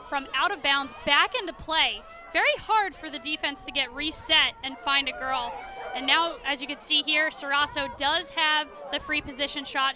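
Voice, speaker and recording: American female color analyst, high register, conversational and explanatory, live outdoor stadium with crowd noise. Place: outdoor stadium broadcast position. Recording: crowd and music behind, outdoors. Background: crowd and music.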